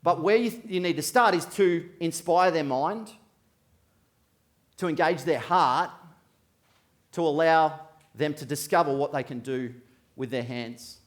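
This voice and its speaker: A man's voice: fairly high pitch, measured pace, long pauses. A man speaking as a motivational keynote speaker, addressing an audience directly from a conference stage.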